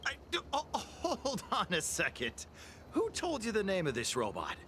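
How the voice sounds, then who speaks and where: A jittery young crook in a game, tripping over his own words. His voice is bright and thin, and it sits high. There is a faint hum of ambience behind him. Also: huge pitch swings, moderate pace, full character voice. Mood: nervous bravado. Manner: stammering, jittery, pleading. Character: nervous cowardly young male thief. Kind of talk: video game voice acting.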